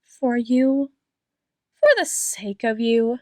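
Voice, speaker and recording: A high, close and dry female voice, acted by a woman as a yandere doctor in an intimate audio roleplay. She speaks slowly and tenderly, slipping into whispers and repeating herself, with devotion that carries a sweet menace. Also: steady tone, dark tone, dry recording. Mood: doting, quietly menacing. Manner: breathy whispers, lingering pauses, repeats phrases tenderly. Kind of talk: ASMR roleplay, dramatic monologue addressed to listener.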